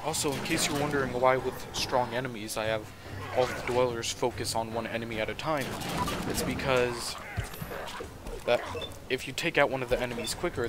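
A soft-spoken man talks casually and a little rambly while he plays a game at home. His voice sits in an ordinary middle register. The game's sound carries clearly underneath his commentary. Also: medium pitch, noisy background. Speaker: gaming channel host.

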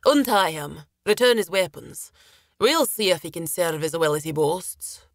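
A woman voicing a mature male officer in a storybook, measured and commanding, amused and lenient, with a lilting accent. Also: fairly low pitch, lively intonation, dry recording, clean studio sound, close to an everyday voice. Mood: calm authority.

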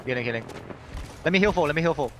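A bright-voiced man calls out to his gaming teammates in short repeated bursts. His delivery is fast and lively, with faint noise and clicks on the line. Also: on the high side. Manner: echoes words in quick bursts. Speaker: esports player, teammate. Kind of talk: clipped in-game team callouts.

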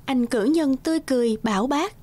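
A woman's voice: high voice, a little fast, darkish tone, no echo. A woman narrating a scripted fairy tale for children.

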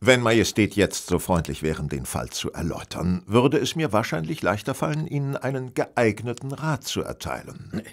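An actor playing a cool, self-assured master detective in a German radio play, precise, clipped and sardonically courteous. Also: male voice, mid-range voice, lively intonation, moderate pace, few pauses. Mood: cool, superior.

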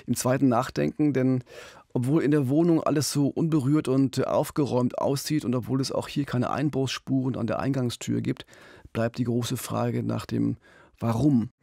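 A man's voice: mid-range voice, fairly even intonation, moderate pace, creaky, mellow, no room sound. A middle-aged man telling a crime story conversationally as a podcast host, close on a studio mic. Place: small radio studio. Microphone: close studio boom mic with pop filter.

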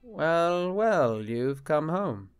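An actor playing a proud, jealous middle-aged bishop in a radio-style drama, curt and indignant. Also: male voice, fairly high pitch, very animated, extremely slow, smooth, faint music behind, exaggerated voice. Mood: testy. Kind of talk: radio-play style acting.

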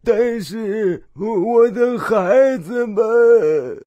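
A man's voice: sits high, expressive, drawn-out words, warm tone, no echo, muffled recording, heavily acted. An actor voicing a weary, pleading peasant father in a cartoonish game. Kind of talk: cartoonish video game acting.

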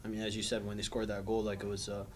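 A young man in his twenties, a soccer player answering press questions after a match in a measured, thoughtful way with frequent ums. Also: lowish voice, completely flat delivery. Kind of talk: post-match press interview. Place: small indoor press room, backdrop wall.

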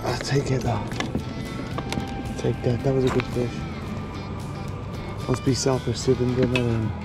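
A man in his thirties, a fishing vlogger, chatting casually and swearing from his kayak, with excited whoops when a fish bites. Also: mid-range voice, moderate pace, over loud music. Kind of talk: casual outdoor vlog chat. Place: outdoors in a kayak on a river. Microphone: action camera on the kayak.

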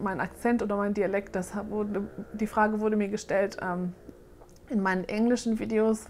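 A woman in her thirties from Germany, chatting casually on camera in a question-and-answer vlog and often pausing with fillers as she searches for words. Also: medium pitch, a little mellow. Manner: chatty, hesitant with frequent fillers. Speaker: doll-making vlogger. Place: living room, seated on a sofa.

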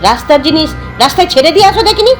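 A shrill, quick-talking maidservant, played by a woman, nags the family in a high, swooping voice. Music plays softly behind her in this audio story. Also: lively intonation. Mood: scolding. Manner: rapid scolding nag. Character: chattering scolding middle-aged maidservant woman.

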